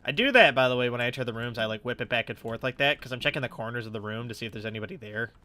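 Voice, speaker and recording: Bright-voiced man rambling through game commentary. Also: mid-range voice, expressive, very fast. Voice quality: slightly stuffed-up nose. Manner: rambling, thinking aloud. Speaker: gaming YouTuber. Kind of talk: casual let's play commentary, swearing. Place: his room at home.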